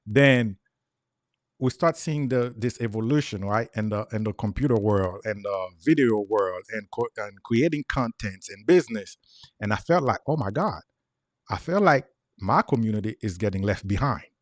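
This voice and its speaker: A man's voice: lowish voice, lively intonation, moderate pace, no room sound, studio quality. A man in his forties from Haiti with a thick Haitian Creole accent, explaining earnestly as a guest in a casual chat and repeating words as he goes.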